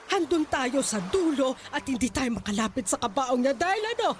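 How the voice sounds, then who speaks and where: A woman's voice: high-pitched, big pitch swings, normal speed, slight background noise. An actress playing a bitter, hurt middle-aged mother who scolds her daughter with emotional, reproachful outbursts. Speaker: voice actor in a radio-style drama.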